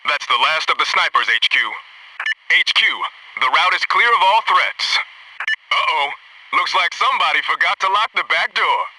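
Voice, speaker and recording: An actor playing a disciplined, dryly wry SEAL in a military shooter game, giving clipped, businesslike reports. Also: male voice, fairly high pitch, animated, normal speed, far from the mic, noisy background, close to an everyday voice. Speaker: special forces teammate reporting to headquarters.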